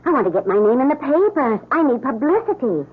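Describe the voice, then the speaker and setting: High, girlish, wheedling young mistress. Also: sing-song, quick pace, breathy edge, exaggerated voice. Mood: pouting, insistent. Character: naive, pouting young mistress, an aspiring actress. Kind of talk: old-time radio drama acting. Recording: tinny, muffled old radio broadcast.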